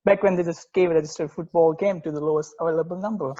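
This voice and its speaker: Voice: medium pitch, steady tone, fast, dark tone, muffled recording, lightly acted. A performer playing an enthusiastic, snarky sports podcast co-host in a casual table read. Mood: casual storytelling.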